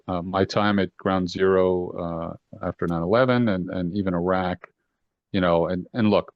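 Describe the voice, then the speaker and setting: A man's voice: deep voice, dry recording, muffled recording. A man in his fifties with a Boston accent, a retired FBI assistant director, telling his stories as a guest with many hesitations and restarts. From New York and Boston. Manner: halting, many "uh" and restarts, earnest. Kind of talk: reflective interview storytelling.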